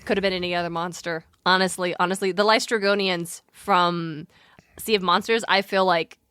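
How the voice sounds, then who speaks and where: A woman's voice: lowish voice, fairly even intonation, moderate pace, a bit bright, clear, resonant tone, dry recording, studio quality. A woman hosting a podcast in casual, chatty banter, with an informal, wry way of talking. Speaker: podcast host.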